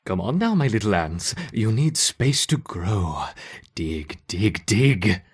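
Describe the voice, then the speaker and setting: A man's voice: on the low side, big pitch swings, slow, breathy edge, full character voice. A man playing an eager, hesitant scientist who dotes on his ant colony in a video game. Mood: coaxing. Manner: hesitant, stammering, fussing over his subjects.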